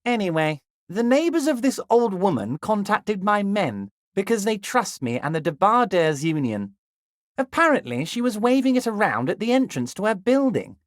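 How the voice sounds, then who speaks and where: Scheming union boss, game character, smooth folksy charm, lively swooping pitch, dry close sound. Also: male voice, normal speed, no room sound. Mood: genial, scheming. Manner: drops to a deep, confiding murmur on names. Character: scheming jovial middle-aged male union boss. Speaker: union leader. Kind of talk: video game voice acting.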